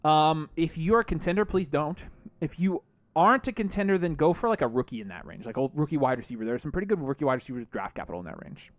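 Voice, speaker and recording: A young man hosting a casual fantasy football live stream from a small studio, talking into a boom mic and reading viewer chat. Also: lively intonation, no room sound. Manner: quick, chatty, reads chat aloud. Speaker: fantasy football podcast host. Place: small studio with neon lights. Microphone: boom mic on arm.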